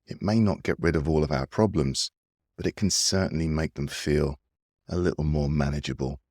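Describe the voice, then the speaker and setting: Very deep-voiced man guiding meditation. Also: no echo, studio quality. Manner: soothing, gentle, unhurried instruction. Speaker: meditation guide and mindfulness coach. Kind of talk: guided breathing meditation narration.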